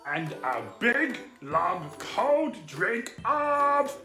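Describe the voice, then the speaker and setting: A woman's voice: fairly high pitch, sing-song, drawn-out words, bright, forceful tone, soft background music, exaggerated voice. A woman voicing a childlike, greedy and fanciful fuzzy puppet in a children's comedy sketch, relishing each item in an earnest way. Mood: dreamy, greedy. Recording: roomy echo.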